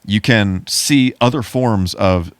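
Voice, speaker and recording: Low-voiced man co-hosting a casual podcast. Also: takes it slowly, clean tone, dry recording. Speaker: podcast co-host.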